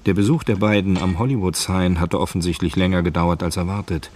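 Actor as deep-voiced crime storyteller. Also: male voice, fairly even intonation, a little fast, darkish tone, slight background noise, lightly acted. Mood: matter-of-fact. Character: matter-of-fact male storyteller of a crime tale. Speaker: narrator. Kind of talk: scripted radio drama narration.

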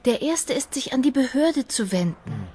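A young fiancée in a radio play. She sounds practical and firm, in a fairly high, husky voice. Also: wildly swooping pitch, moderate pace, darkish tone, full character voice. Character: practical, firm young woman directing her dreamy fiancé.

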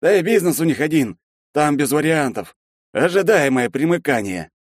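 Casting: reader doing a booming, scornful older man, raised pitch, punchy and loud, dry sound. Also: lively intonation, normal speed, dry recording. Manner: booming, mocking.

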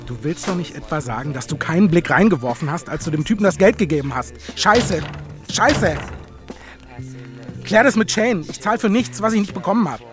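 An actor playing an angry, demanding drug customer, swearing and confrontational, in a film drama. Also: male voice, sits high, expressive, moderate pace, a bit bright, faint music behind. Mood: angry, accusing. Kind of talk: naturalistic film drama acting.

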